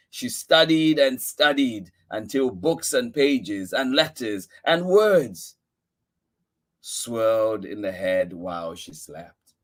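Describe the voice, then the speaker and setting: Male story-time host, unhurried delivery, reading a picture book with dramatic, expressive emphasis. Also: medium pitch. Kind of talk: expressive read-aloud storytelling.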